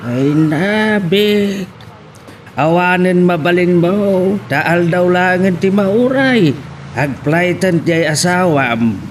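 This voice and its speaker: Man acting slow-spoken elderly father, noisy background. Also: high-pitched, animated. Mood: reproving, consoling. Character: calm, advising elderly father of the family. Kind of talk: Ilocano radio-style audio drama. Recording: clear background ambient noise.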